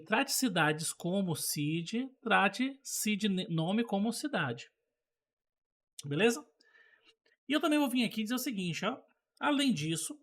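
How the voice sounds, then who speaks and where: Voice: medium pitch, fairly bright tone. A Brazilian teacher giving an informal programming lesson, explaining conversationally with examples and checking that learners follow. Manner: conversational, checks understanding, acts out example dialogue.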